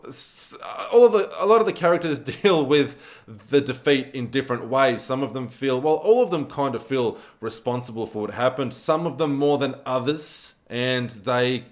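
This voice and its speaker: A man's voice: mid-range voice, normal speed. A man reviewing a film in a casual, conversational style, repeating words for emphasis. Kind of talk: casual spoken movie review to camera.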